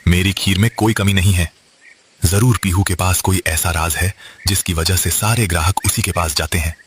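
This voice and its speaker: A man's voice: low voice, fairly even intonation, normal speed, a little hiss or hum, natural voice. A man playing a jealous, scheming male kite bird shopkeeper in a children's cartoon story. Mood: resentful, plotting. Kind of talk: animated children's moral story dialogue.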